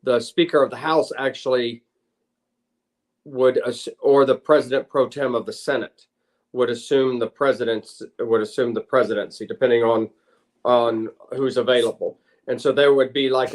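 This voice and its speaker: A middle-aged man, a native English speaker and lawyer, answering interview questions in halting, searching phrases and switching between two languages. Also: mid-range voice, steady tone. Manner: halting, searching for words in Spanish. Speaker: lawyer and former state senator, guest.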